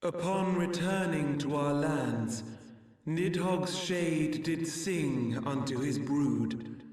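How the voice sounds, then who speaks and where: A heavily echoing, distant dragon, solemn and lordly. The delivery is slow and stately, with archaic formal wording, as in a fantasy game cutscene. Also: male voice, on the high side, a little mellow. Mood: grave, detached.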